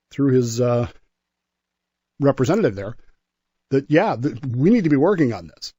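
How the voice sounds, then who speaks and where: Man, mid-pitched voice, conversational history storyteller, enthusiastic, emphatic, halting repeats, dry sound. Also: darkish tone, no room sound. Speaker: history segment guest.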